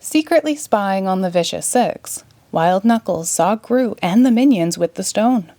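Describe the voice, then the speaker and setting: A woman narrating a children's story in a clear, expressive read-aloud manner. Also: mid-range voice, measured pace, dry recording. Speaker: storybook reader.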